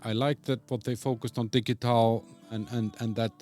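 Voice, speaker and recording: A man's voice: medium pitch, little pitch movement, moderate pace, a little mellow, faint music behind. A man from Iceland hosting a podcast in casual interview chat, speaking fluently and opinionatedly as he leads the questions. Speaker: podcast host.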